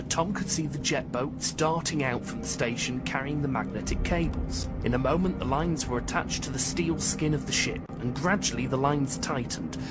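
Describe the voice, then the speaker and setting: Man narrating sci-fi over loud music. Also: mid-range voice. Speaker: audiobook narrator. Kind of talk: scripted science fiction narration.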